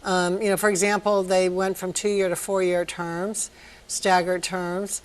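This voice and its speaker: A woman from Virginia, with a voice slightly lower than most, answers interview questions about local government. She explains things in a measured, articulate way, pausing on the odd filler word. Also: flat delivery, low-quality recording. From Northern Virginia, USA. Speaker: city manager, interview guest. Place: TV studio.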